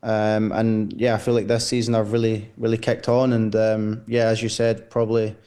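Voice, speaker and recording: A young man from Glasgow with a Glaswegian accent answers questions as a footballer. His voice sits low and mellow with a flat, even delivery. He hesitates often, full of fillers and repeated words. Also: normal speed. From Scotland. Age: 20s. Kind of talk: interview answers.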